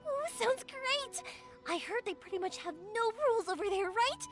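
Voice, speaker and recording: A woman voicing a cheerful, chatty, excitable young fireworks maker in an anime-style video game. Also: extremely high pitch, moderate pace. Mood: friendly, chatty. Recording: music behind the voice.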